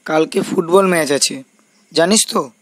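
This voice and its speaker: A man's voice: on the high side, moderate pace, no room sound, close to an everyday voice. A man voicing a pleading young village football teammate with an informal, questioning manner, in a cartoon.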